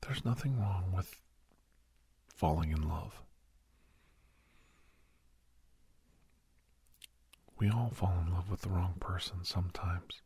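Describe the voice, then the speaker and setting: Man acting as low, husky whispering kindly doctor. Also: heavily acted. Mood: gentle, frank. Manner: hushed, unhurried, with pauses and soft chuckles. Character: kindly doctor advising a young werewolf, old family friend. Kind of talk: ASMR roleplay, soft whispered monologue.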